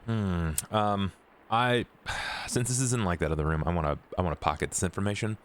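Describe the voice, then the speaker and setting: A man's voice: deep voice, brisk. A man casually talking through his moves as a player in a tabletop game.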